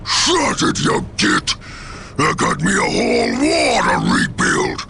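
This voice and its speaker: A man voicing a brutish, bad-tempered Ork warboss in a video game, with a snarling gravelly Cockney voice, shouting insults at his underlings. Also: extremely high pitch, wildly swooping pitch, slow pace, noisy background, exaggerated voice. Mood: furious, scheming. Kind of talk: video game cutscene acting. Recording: loud music behind the voice.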